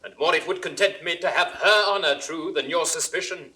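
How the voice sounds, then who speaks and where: An actor playing a respectful but firm courtier in formal Shakespearean audio drama. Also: male voice, fairly high pitch, a little fast, sharp tone, rough recording. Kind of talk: classical Shakespearean stage acting.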